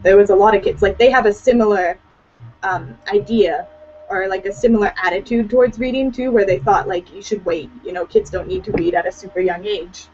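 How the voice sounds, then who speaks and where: A woman's voice: medium pitch, steady tone, brisk, darkish tone, husky. A teenage young woman from Hawaii chatting informally as a guest on a video call, often saying "like" and "you know". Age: teens. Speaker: student guest. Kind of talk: casual video-call chat. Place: small room at home. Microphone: computer on video call. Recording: dull lo-fi video-call audio.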